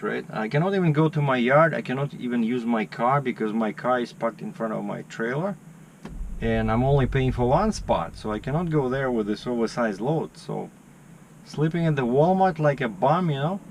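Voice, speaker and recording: A man's voice: mid-range voice, slight background noise. A man, a truck driver vlogging in casual chat and animatedly telling stories, acting out his phone calls. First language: Russian.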